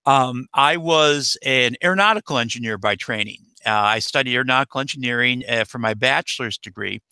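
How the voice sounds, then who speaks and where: A middle-aged American man, a guest expert answering interview questions in a halting way, full of uh and um fillers. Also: medium pitch, pushed and bright, smooth, no echo. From United States. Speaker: guest expert, nuclear engineer.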